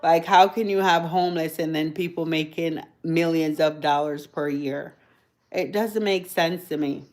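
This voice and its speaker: A Jamaican woman chats live with her viewers into a phone or webcam. She talks at an unhurried, drawn-out pace in a slightly low voice. She rambles and laughs often. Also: steady tone, darkish tone. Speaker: livestream host. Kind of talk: casual live chat with viewers.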